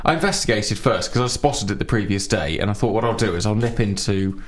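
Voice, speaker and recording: A man's voice: fairly low pitch, fairly quick, reverberant. Dry man telling rambling stories. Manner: dry, rambling anecdotes with chuckles. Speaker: co-host. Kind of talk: casual comedy radio chat.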